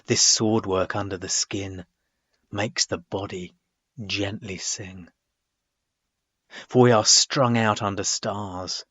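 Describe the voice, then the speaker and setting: A man reading his own poetry dramatically, in measured, deliberate phrases broken by frequent pauses. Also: fairly low pitch, sharp tone, no echo, muffled recording. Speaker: poet reading own work.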